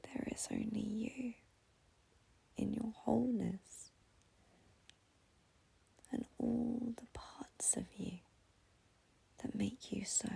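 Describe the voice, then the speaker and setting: Woman, meditation guide, whispered, very husky, gravelly, slow and soothing. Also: fairly high pitch, mellow, poor audio.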